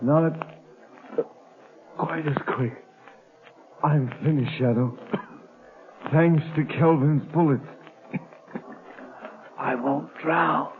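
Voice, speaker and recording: An actor playing a frightened, dying gangster, weak and wounded, pleading in a slow, strained way. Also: male voice, a little high, steady tone. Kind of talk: 1940s radio acting. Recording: scratchy, tinny old radio broadcast.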